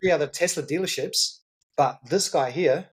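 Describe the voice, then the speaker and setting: A man's voice: on the high side, normal speed, slightly rough. A man as a guest on a podcast, explaining his business in a confident, salesy way with frequent repeats and restarts. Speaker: guest entrepreneur.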